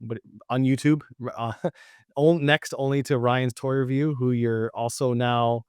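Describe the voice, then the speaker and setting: A male podcast host introduces his guest with eager enthusiasm and asks questions. His voice sits at an ordinary mid pitch. The sound is dry and close. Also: fairly even intonation, unhurried, a little mellow, smooth, dry recording. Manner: enthusiastic, upbeat praise of the guest. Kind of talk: interview host chat.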